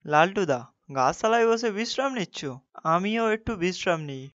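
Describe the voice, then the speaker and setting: A man voicing an easygoing, devout poor young village peddler in an animated fairy tale, speaking in a philosophical, consoling way. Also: high voice, moderate pace, lo-fi sound, slight background noise.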